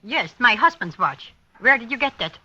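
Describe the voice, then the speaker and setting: Abandoned wife, guarded and halting, 1950s radio acting; bright tone, dull vintage recording. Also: female voice, fairly high pitch, very animated, husky, exaggerated voice. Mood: guarded, resigned. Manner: halting, plain-spoken, short phrases. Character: bitter, hesitant abandoned wife questioned by police.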